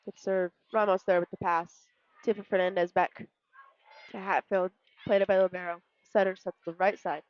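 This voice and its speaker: A woman's voice: medium pitch, level tone, normal speed, dull audio. A woman co-commentating live volleyball in casual, clipped, touch-by-touch phrases.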